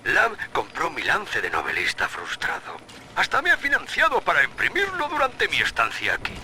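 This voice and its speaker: An actor playing a chatty, conniving frustrated writer in a video game audio diary, sly in manner. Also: male voice, on the high side, wildly swooping pitch, normal speed, pushed and bright, a little hiss or hum, full character voice. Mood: smug, conspiratorial.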